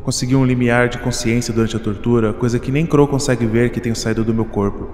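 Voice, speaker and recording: A man playing a gentle, affectionate captive resistance fighter in an audio drama, soothing and familiar in manner. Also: mid-range voice, steady tone, fast, lightly acted. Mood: calm, affectionate. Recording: echoey reverb with music behind.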